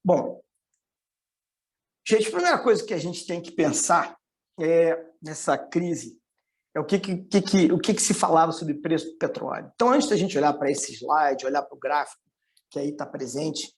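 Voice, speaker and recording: Creaky-voiced middle-aged Carioca man presenting. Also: fairly high pitch, moderate pace. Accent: Carioca (Rio de Janeiro) Brazilian. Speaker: economist giving a presentation. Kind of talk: informal online presentation with slides.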